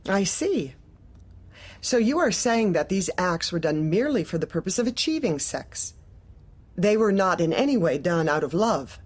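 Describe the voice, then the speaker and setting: An actress playing a manipulative office manager in a dark comedy audio drama, speaking with measured, formal, condescending politeness. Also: female voice, fairly low pitch, big pitch swings. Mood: probing, formal.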